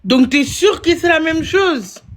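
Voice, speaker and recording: A woman's voice: very high-pitched, lively intonation, unhurried. A woman hosting a casual live stream, teasing and arguing in a playful way and repeating her points. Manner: teasing, argumentative, repeats herself. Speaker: livestream host.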